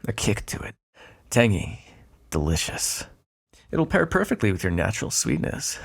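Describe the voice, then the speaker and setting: A man playing a smug, predatory vampire in an ASMR roleplay, taunting and flirtatious, with a gravelly, breathy voice. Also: on the low side, heavily acted. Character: predatory, teasing male vampire, smug and seductive.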